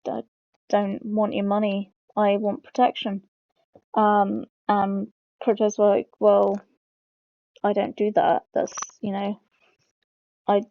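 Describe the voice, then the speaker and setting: Mellow woman explaining, slightly creaky, dull mic. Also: mid-range voice, no room sound. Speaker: host of a game lore channel. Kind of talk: informal explainer narration.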